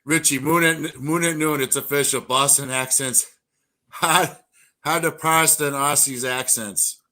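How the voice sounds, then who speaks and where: A man's voice: fairly high pitch, steady tone, measured pace, bright, forceful tone. A man co-hosting a live stream, playful and teasing in casual chat. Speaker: podcast co-host.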